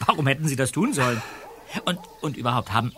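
An actor playing a sceptical young male detective in an audio drama, halting and repeating words as he questions. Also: medium pitch, expressive, fairly bright tone, slight background noise, lightly acted. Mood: incredulous. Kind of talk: German audio drama acting.

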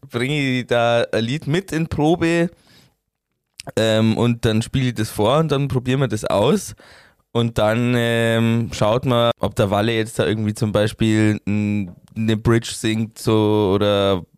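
A young man with a Bavarian accent, the songwriter and guitarist of a band, answering interview questions in a relaxed, rambling way full of fillers. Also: medium pitch, clean tone, no room sound, studio quality.

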